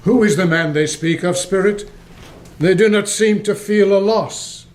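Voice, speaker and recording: An actor in a community radio play, playing a frightened old miser who pleads earnestly and theatrically with spirits. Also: male voice, high-pitched, unhurried. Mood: puzzled.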